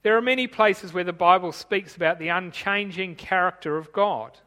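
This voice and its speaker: A man with a high, ringing voice delivers a sermon from notes, steady and explanatory. His words trail off into the long echo of a big church hall. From Tanzania. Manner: measured, explanatory, reading from notes. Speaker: preacher.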